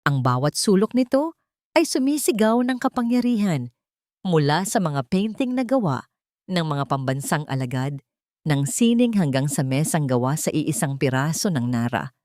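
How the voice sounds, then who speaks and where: A woman narrates a dramatic scripted love story. Her voice sits on the low side, recorded close and dry with no room sound. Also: very animated, moderate pace, a little mellow, no echo.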